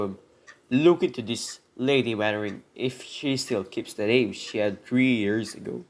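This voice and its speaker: A man plays a poor convicted burglar in an amateur radio drama, with a middling male pitch. He is earnest and embittered, speaking in formal, emphatic phrases like someone determined to be believed. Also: close to an everyday voice. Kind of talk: hobby radio drama acting, long monologue.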